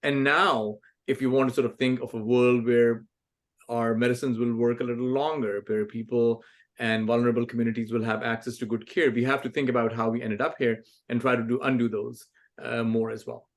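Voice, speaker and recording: A man's voice: medium pitch, little pitch movement, brisk. Thoughtful man from Pakistan, 40s. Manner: thoughtful, many fillers and restarts. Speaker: author and scientist, guest. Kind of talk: conversational interview answers.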